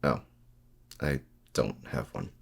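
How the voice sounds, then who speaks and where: A man playing a guarded, brusque villain in an ASMR roleplay audio drama, evasive with his hero rival, in a gravelly voice. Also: rumbling low, animated, takes it slowly, heavily acted.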